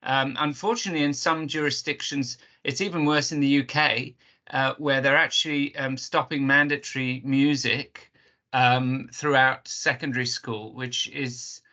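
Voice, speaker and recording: A man in the audience with a loud voice, talking at length and rambling with many fillers over a video call. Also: medium pitch, steady tone, moderate pace. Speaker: audience member. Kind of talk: question from the audience. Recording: dull lo-fi video call audio.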